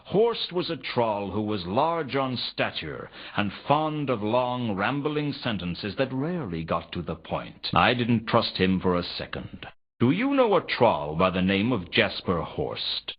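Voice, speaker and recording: A man playing a cynical private detective in a fantasy noir video game, with a husky voice and a deadpan, world-weary delivery. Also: lowish voice, heavily acted. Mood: probing. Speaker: game protagonist and narrator. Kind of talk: hardboiled noir game narration. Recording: dull lo-fi game audio.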